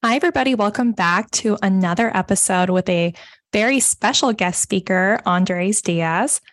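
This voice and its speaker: A woman's voice: medium pitch, moderate pace, a bit bright. A woman co-hosting a podcast, chatting playfully and laughing often, and reading a guest introduction. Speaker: podcast co-host. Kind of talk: casual chat and guest introduction.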